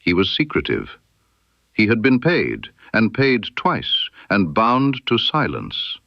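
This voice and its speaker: A man voicing a stern, incisive detective in an audiobook mystery, precise and emphatic, with dramatic pauses. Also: lowish voice, wildly swooping pitch, creaky, no echo, muffled recording, exaggerated voice. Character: stern, incisive male detective laying out his case.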